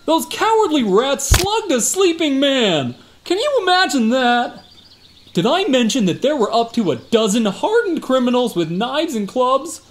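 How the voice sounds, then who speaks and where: A man's voice: very high voice, very animated, unhurried, heavily acted. A man playing a boastful, evasive security guard in a comic radio drama, folksy, slangy and given to exaggeration. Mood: defensive, boastful. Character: boastful, evasive tough-guy security guard, a fibbing male cousin.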